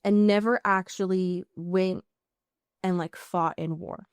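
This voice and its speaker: An American woman with a slightly low voice chats as a podcast co-host. She is emphatic and sweary, circling back to fix her own sentences. The sound is close and dry. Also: steady tone, slow pace, clean tone, no echo. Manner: emphatic, sweary, rambling with self-corrections. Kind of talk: casual TV and film recap chat.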